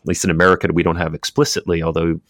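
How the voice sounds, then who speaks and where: A man's voice: low-pitched, little pitch movement, racing, a bit bright. A man hosting an academic interview podcast, speaking with frequent hesitations and repeated words. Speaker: podcast host.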